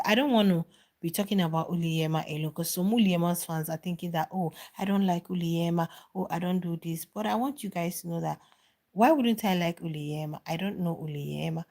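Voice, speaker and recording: A woman's voice: on the low side, darkish tone. A middle-aged woman talking casually on a live stream about a reality show, answering viewers' comments and repeating phrases for emphasis.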